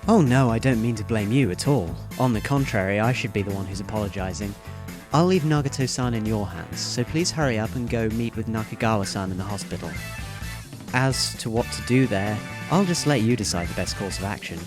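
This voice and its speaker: A man playing a composed, courteous teenage schoolboy in an audio drama, speaking in a measured way. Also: on the low side, lively intonation, over music, natural voice.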